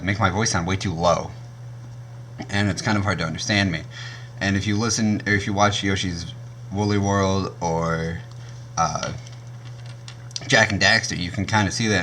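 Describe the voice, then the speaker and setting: A young man with a naturally deep voice, casually reviewing gear on his channel and often stopping to correct himself. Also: a bit bright, a little hiss or hum. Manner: rambling, self-correcting, frequent um and uh.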